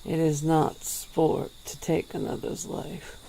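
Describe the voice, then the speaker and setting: A woman voicing a centuries-old female vampire, calm and regretful, speaking slowly and formally in an ASMR roleplay. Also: low-pitched, gravelly, a little hiss or hum, exaggerated voice, poor audio. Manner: slow, measured, formal speech.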